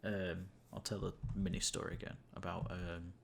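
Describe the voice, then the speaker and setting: A man's voice: deep voice, little pitch movement, normal speed, gravelly, a little hiss or hum. A young man chatting casually to stream viewers while gaming, rambling and amused at himself, with many fillers and restarts. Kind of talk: casual gameplay chat to viewers.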